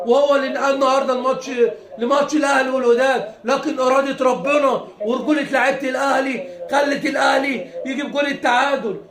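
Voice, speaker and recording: A hoarse Egyptian man with a high voice rants fast and heatedly about football, laughing between his lines. His worn voice bounces around an echoey room. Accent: Egyptian Arabic. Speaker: football fan. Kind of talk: impassioned rant.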